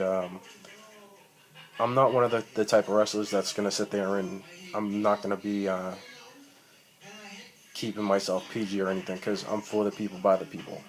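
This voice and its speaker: Guy in his thirties, low and monotone, rambles with lots of ums and swearing, recorded on a tablet. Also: low-quality recording. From Boston, Massachusetts. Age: 30s. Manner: rambling, profane, full of ums and restarts. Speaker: amateur wrestler. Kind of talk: rambling self-recorded vlog. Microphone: tablet built-in mic.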